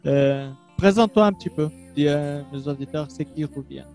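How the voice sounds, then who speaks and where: A man's voice: on the high side, normal speed, dark tone, faint music behind. A man co-hosting a radio interview, asking the guest direct questions in a friendly way. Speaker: radio co-host.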